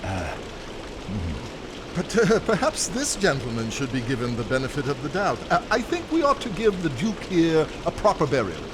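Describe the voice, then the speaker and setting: An actor playing a wry, fussy gentleman adventurer in a video game cutscene, with theatrical, polite phrasing. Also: on the high side, sing-song, normal speed. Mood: wary, conciliatory. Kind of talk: dramatic and comic video game cutscene acting. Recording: clear background noise.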